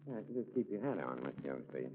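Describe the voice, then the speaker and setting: A man's voice: extremely low pitch, very animated, quick pace, full character voice. An actor playing a mild, polite, hesitant small-town man in a 1940s radio comedy. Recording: muffled, noisy 1940s radio recording.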